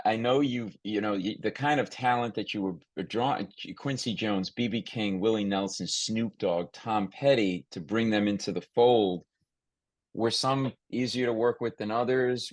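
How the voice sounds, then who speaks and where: A man hosting a casual podcast interview, talking in an animated, rambling way and often restarting his sentences. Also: fairly low pitch, no echo. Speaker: podcast host, comedy writer.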